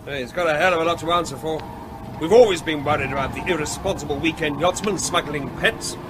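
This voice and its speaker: An actor playing an earnest British rabies investigator in a radio drama. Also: male voice, on the high side, quick pace, noisy background, natural voice. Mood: indignant. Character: earnest field pest-control official investigating rabies.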